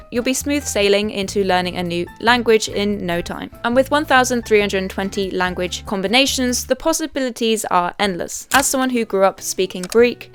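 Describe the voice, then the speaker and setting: A young adult woman hosting a video essay, reading scripted commentary with wry, conversational asides. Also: mid-range voice.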